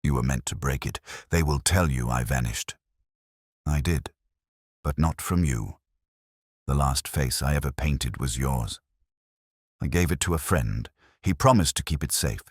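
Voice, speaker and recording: A man's voice: a bass voice, measured pace, long pauses, slightly rough, dry recording, studio quality, voice pushed down, heavily acted. A man voicing a tender, resolute mother in a letter read aloud in an audiobook, solemn in manner. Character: tender, resolute mother writing to her daughter.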